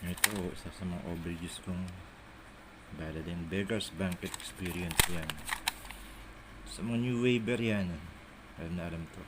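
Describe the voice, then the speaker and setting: Low-voiced Manila man over noisy audio. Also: measured pace, pauses often. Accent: Filipino, Manila Tagalog. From Philippines. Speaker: cassette collector showing a collection. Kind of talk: casual show-and-tell chat, off the cuff. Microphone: phone held in hand while filming. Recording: noisy handheld phone camera audio.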